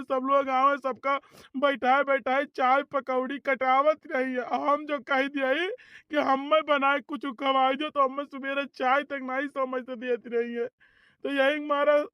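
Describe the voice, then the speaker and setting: Cartoon role: a high, monotone, slow-talking grown son, forever whining and tearful, as a performer puts him on. Dull lo-fi sound. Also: no echo. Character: weepy grown son, complaining about his wife.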